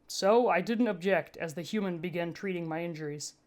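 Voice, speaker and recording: A woman's voice: on the low side, fairly quick, a little mellow. A woman reading a first-person story aloud as scripted audiobook narration. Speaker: fan fiction audiobook reader.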